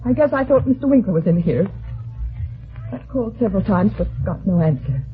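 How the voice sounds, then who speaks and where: An actress playing a frightened, earnest widow in an old-time radio drama, speaking anxiously and hesitantly. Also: female voice, mid-range voice, big pitch swings, brisk, soft background music, natural voice. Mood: shaken, explaining. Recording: thin, narrow-band old radio recording.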